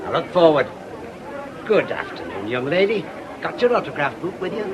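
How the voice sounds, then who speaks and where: A man's voice: a little high, big pitch swings, moderate pace, dull audio, noisy background. An actor playing a self-important, genial older retired policeman in a radio drama, bluff and avuncular. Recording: busy outdoor crowd behind the voice.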